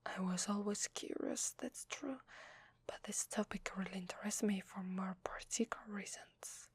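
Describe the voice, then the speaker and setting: A woman with an Italian accent playing a gentle, apologetic vampire in an ASMR roleplay, whispering softly and hesitantly close to the microphone. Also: mid-range voice, moderate pace, natural voice. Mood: shy, confessional. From Italy. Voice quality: soft breathy whisper. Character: gentle, apologetic female vampire confiding in a human. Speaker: ASMR voice actor. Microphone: close microphone, dry.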